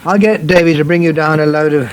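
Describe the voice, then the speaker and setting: English farmer dad, male actor: middle-aged, concerned, hesitant yet firm, slightly high voice, faint ambient noise. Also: steady tone, fast, close to an everyday voice. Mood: helpful. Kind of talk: British radio soap acting.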